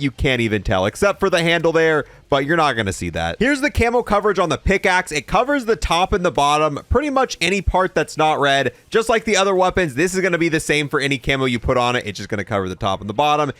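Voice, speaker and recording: Male gaming host, fairly high voice, very lively intonation, casual commentary that thinks aloud. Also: dry recording. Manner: announcing each item, thinking aloud while testing. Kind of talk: casual game bundle review commentary.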